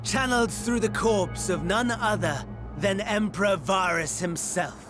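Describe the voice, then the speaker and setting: A man's voice: high voice, measured pace. An actor playing a smug, scheming imperial servant in a video game, grandiose and mock-reverent as he savors each phrase. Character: theatrical, smug villain and scheming male servant of empire. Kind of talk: dramatic video game cutscene acting. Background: background music.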